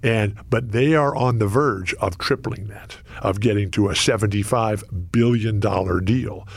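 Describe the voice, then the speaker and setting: Gravelly-voiced man in his sixties. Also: lowish voice, a little slow. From Los Angeles. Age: 60s. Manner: lifelong stutterer, energetic storytelling. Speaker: radio broadcaster, guest. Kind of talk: interview conversation.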